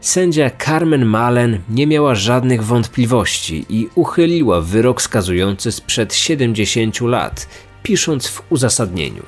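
Male podcast narrator, medium pitch, scripted documentary delivery, light music underneath. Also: big pitch swings, a little slow. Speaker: true crime podcast host.

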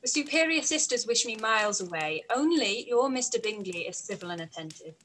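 A young woman playing a witty, self-possessed gentlewoman in a period drama read over video call. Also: on the high side, animated, brisk, a little hiss or hum, poor audio. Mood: teasing, affectionate. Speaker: actor in live read. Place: small room at home.

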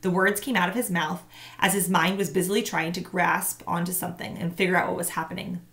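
A woman in her thirties, a teacher, reading a book aloud with an expressive, animated delivery into a webcam at close range in a small room at home. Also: fairly low pitch, fairly quick. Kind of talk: read-aloud storytelling.

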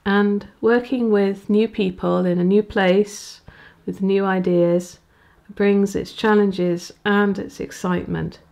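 A woman in her forties, a vicar, chatting to camera in a small room at home, with small halting restarts. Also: medium pitch, fairly even intonation, gravelly, darkish tone. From England. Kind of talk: casual vlog to camera. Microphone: handheld recorder near the camera.

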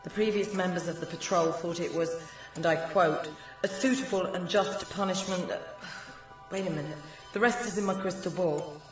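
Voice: mid-range voice, normal speed, lightly acted. An actor playing a wry fantasy caseworker who explains things matter-of-factly in an audio drama. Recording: echoey, distant, muffled, music behind.

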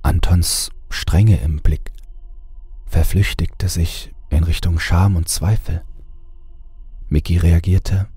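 Male narrator, horror audio story, bottomless low pitch, dark husky timbre, measured delivery, dramatic pauses. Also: fairly even intonation, dry recording.

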